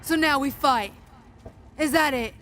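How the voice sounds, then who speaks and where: A woman's voice: high voice, unhurried, a bit bright. An actress playing a tough, impatient female gang leader in a video game, sardonic and challenging. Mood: confrontational. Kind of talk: video game character dialogue. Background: music.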